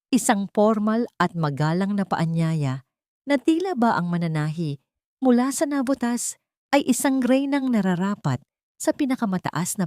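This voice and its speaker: A woman's voice: on the low side, a little mellow, no echo, clean studio sound. A woman with a Filipino accent reading a dramatic scripted story as an audiobook narrator.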